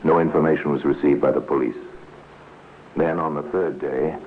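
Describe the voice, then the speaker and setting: A man narrating a 1950s radio crime drama in a grave, measured, deliberate manner. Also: a bass voice, fairly even intonation. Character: solemn storyteller narrating a police case. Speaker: narrator and host. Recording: tinny, noisy 1950s radio recording.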